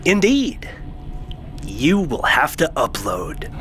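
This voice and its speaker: A man's voice: on the high side, huge pitch swings, slow, a bit bright, a little hiss or hum, exaggerated voice. A man playing a smug, persuasive robot rebel leader in a theatrical, mocking audio drama voice. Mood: smug coaxing.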